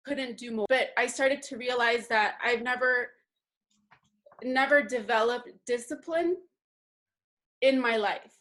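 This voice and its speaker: Unhurried Guatemala-raised woman. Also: fairly high pitch, fairly bright tone, poor audio. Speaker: workshop participant. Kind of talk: personal story to a group.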